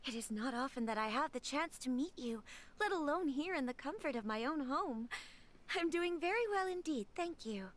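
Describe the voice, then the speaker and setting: A woman's voice: sits high, animated, normal speed. A woman playing a gracious, earnest young noble lady in a fantasy video game, speaking politely and formally.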